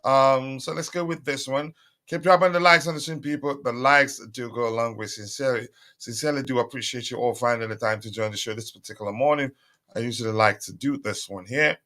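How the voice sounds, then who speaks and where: Chatty male livestream host, bright voice. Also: medium pitch, steady tone, a little fast. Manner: chatty, many fillers, repeats calls for likes. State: voice strained, recovering. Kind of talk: casual live stream chat reading comments.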